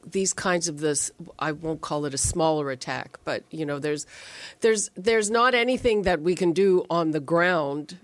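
A woman with a slightly low voice hosts a live call-in radio show, recorded dry and close. She talks conversationally and hesitates as she searches for words. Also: dry recording.

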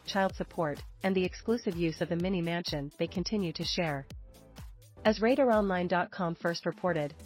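Low-pitched woman reading tabloid news over music. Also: darkish tone. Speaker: celebrity news narrator. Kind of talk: scripted tabloid news narration. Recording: music bed under the voice. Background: background music.

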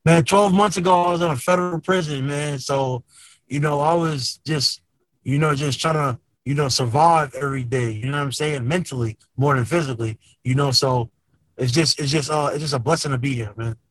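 A man's voice: fairly high pitch, brisk, dry recording, poor audio. A man speaking as an interview guest in casual, earnest talk peppered with conversational tags. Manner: earnest, repeats tags like "man" and "you know".

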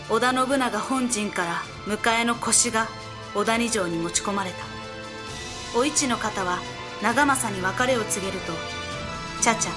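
A woman acting as the solemn narrator of a historical audio drama. Her medium-pitched voice recounts samurai history as scripted storytelling, with clear music behind it. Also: close to an everyday voice.

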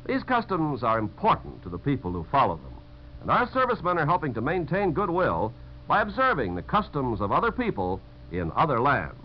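An American man giving a scripted 1950s radio talk in a conversational, measured way. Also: fairly high pitch, wildly swooping pitch. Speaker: narrator of message about servicemen. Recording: dull, hissy 1950s radio recording.

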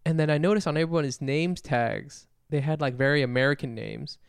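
Smooth-voiced man telling casual stories. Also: on the high side, brisk, dry recording, clean studio sound. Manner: animated storyteller, swears casually. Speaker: podcast co-host. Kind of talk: casual podcast chat.

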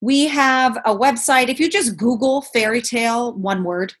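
A woman's voice: fairly high pitch, unhurried, fairly bright tone. A woman speaking as a podcast guest, giving conversational interview answers. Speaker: podcast guest, physical therapy student.

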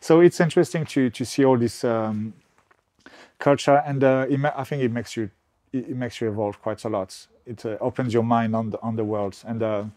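A man from France with a French accent, speaking in a podcast interview as a real estate agent, pausing often and restarting his sentences. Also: medium pitch, fairly even intonation, a little mellow. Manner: halting, many restarts and fillers. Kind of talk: conversational interview.

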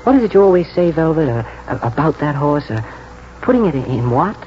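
An actor playing a keen, plain-spoken young English stable lad in a 1940s radio drama, halting and colloquial. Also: male voice, on the high side, big pitch swings. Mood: conspiratorial, earnest. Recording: muffled, noisy 1949 radio broadcast.

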